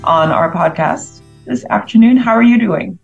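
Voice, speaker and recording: A woman's voice: medium pitch, moderate pace, vocal fry, highs cut off, faint music behind. Effusive woman podcast host. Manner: warm, effusive, polite. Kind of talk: casual interview.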